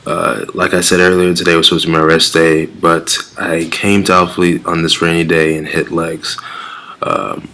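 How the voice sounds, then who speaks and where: A man's voice: low voice, little pitch movement, normal speed, sharp tone. A young man with a Nigerian accent talking casually and earnestly to camera in a fitness vlog, often repeating words as he searches for the next one. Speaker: fitness vlogger.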